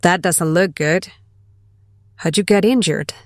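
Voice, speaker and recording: A woman's voice: fairly low pitch, takes it slowly, no echo, clean studio sound. An actress playing a cautious, level-headed woman survivor in an audio drama, serious and formally worded. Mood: questioning.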